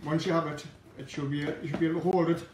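Fast-talking guy going through a pastry recipe, a bit off mic, echoey kitchen. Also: a little high, fairly even intonation, distant mic, noisy background. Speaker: guest baker. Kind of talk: explaining a recipe while working. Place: large home-style kitchen.

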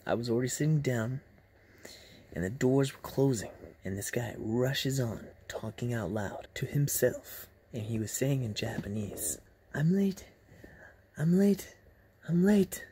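A young man telling casual stories to camera in an animated, acted-out way, recorded on a phone in a bedroom. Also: mid-range voice, a little hiss or hum. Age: young adult. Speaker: vlogger telling personal stories. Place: small bedroom, lying in bed. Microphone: phone at arm's length.

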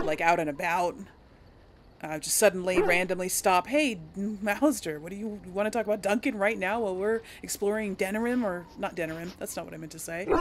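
A woman's voice: mid-range voice, a little hiss or hum. A woman hosting a casual role-play let's play, chatting to her audience as she plays. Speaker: host of a role-play game series.

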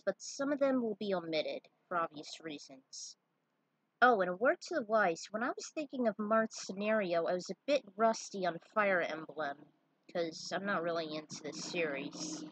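A woman narrating her own fan fiction as a storyteller, with casual asides and a husky voice. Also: medium pitch, fairly bright tone, no room sound, low-quality recording.

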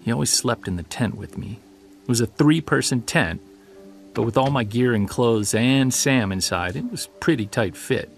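Audio drama witness, played by a man: gravelly fry, middle pitch, thoughtful and conversational, recalling childhood over faint music. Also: animated, moderate pace. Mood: uneasy, reflective. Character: adult male witness recounting an unsettling childhood camping memory. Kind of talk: naturalistic audio drama storytelling.

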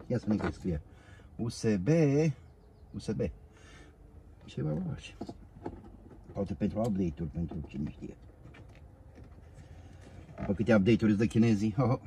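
A middle-aged man with a low, mellow voice talks half to himself as he tinkers inside a parked car. A phone camera on the passenger side picks him up, with a faint hiss and outdoor hum behind him. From Romania. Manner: muttering to himself, coaxing the parts. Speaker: DIY car vlogger. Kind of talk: casual self-talk while working.

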